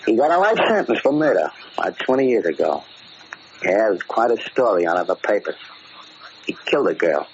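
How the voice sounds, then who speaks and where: An actor playing a cocky, tough-talking gangster in a slangy 1940s radio drama style. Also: male voice, on the low side, very animated, brisk. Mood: brash, impatient. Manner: slangy, brash. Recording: muffled, hissy 1940s radio recording.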